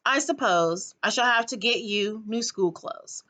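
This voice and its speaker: A woman's voice: medium pitch, measured pace, sharp tone. Woman as a distracted, busy storybook mother.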